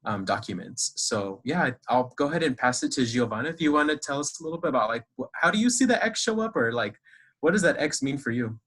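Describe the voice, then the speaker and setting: A man's voice: mid-range voice, lively intonation, quick pace, a bit bright. Upbeat, chatty man co-host. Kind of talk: casual podcast hosting. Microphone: video call.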